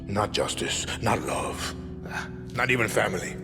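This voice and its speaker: An actor playing a cold, controlling middle-aged dictator and father in a video game, with a Latin American Spanish accent and measured, deliberate pauses. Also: fairly low pitch, very animated, music in the background. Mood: menacing lecture. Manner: measured, deliberate, pausing mid-sentence. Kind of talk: cinematic video game acting.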